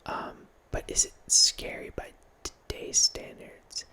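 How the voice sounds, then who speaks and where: A man in his thirties hosts his ASMR channel in a soft unvoiced whisper, close on a studio mic. He rambles loosely, restarting phrases and slipping in quiet laughs. The sound is intimate, from a small room at home. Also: slow pace. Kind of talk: whispered ASMR ramble about a horror movie. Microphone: large studio mic close in front, with headphones.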